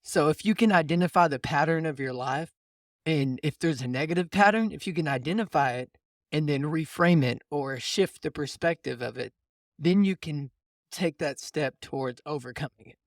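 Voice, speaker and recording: A man's voice: on the high side, moderate pace, no room sound, studio quality. A man hosting a casual coaching conversation, explaining things informally and often rephrasing as he goes. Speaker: podcast host and mindset coach.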